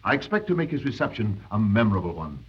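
A husky, gloating rebel chieftain. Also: male voice, on the low side, very animated, fast, exaggerated voice. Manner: mock-courteous, formal. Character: smooth menacing male rebel chieftain, gloating over captives. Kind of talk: 1930s radio drama acting. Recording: dull 1930s radio broadcast recording.

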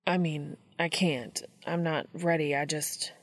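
A woman voicing a vulnerable, hesitant young male college student in a storybook reading, halting and unsure. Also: low voice, steady tone, pitched below the performer's own voice. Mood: reluctant, defensive.

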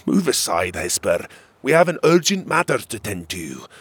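A man's voice: on the low side, sing-song, moderate pace, fairly bright tone, breathy edge, dry recording, full character voice. A man voicing a stern exiled royal warrior in a fantasy story, with a grave, commanding manner. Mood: commanding, indignant.

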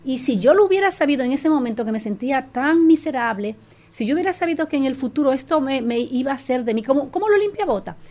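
A middle-aged Dominican woman with a Dominican Spanish accent, telling her story as a guest in an animated, casual way with frequent restarts. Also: high-pitched, fast. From Dominican Republic. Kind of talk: casual chat.

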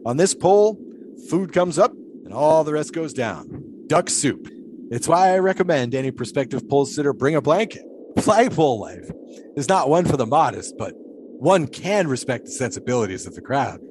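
An actor playing an eccentric, pious 1920s flagpole sitter in an audio drama, talking in a folksy, loquacious, philosophizing way with period idiom. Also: male voice, a little high, big pitch swings, moderate pace, darkish tone, a little hiss or hum. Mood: earnest, instructive.

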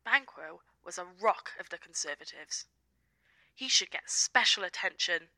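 A woman's voice: lowish voice, lively intonation, normal speed, bright, forceful tone, dry recording, exaggerated voice, poor audio. A woman playing an indignant, fawning party loyalist gossiping in a satirical radio play.

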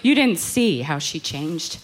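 An actress playing an anxious, haunted woman in a horror radio play, confiding in a halting, intense way. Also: low-pitched, sing-song, very noisy, full character voice. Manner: halting, intense confessional delivery. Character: anxious, haunted woman recounting an insect terror. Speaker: actor.

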